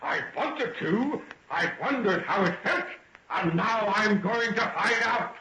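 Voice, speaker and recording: An actor playing a gloating, murderous madman villain in melodramatic old radio drama style, taunting and swinging from hushed to shouting. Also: sits high, expressive, takes it slowly, full character voice. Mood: quiet menace. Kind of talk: 1940s radio drama acting. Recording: muffled, noisy old radio recording.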